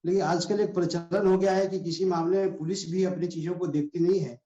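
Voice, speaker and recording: Man, high mellow voice, echoey dull recording, hesitant interview explanation, some English. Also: fairly even intonation, fairly quick. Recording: echoey room, dull muffled sound.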